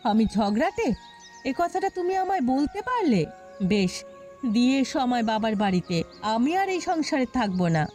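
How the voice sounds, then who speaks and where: A woman's voice: a little high, sing-song, moderate pace, darkish tone, soft background music. A woman voicing a quarrelsome, suspicious village wife in a cartoon, with a scolding, accusing manner. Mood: indignant. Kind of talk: cartoon dialogue acting.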